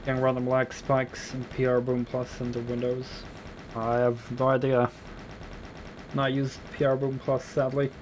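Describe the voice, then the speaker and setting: A man's voice: medium pitch, monotone, a little slow, a little hiss or hum, poor audio. Chatty young man, light Dutch accent. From Netherlands. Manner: chatty, rambling, swears casually. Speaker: streamer. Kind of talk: casual gameplay commentary, chatting with viewers. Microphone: desktop USB microphone.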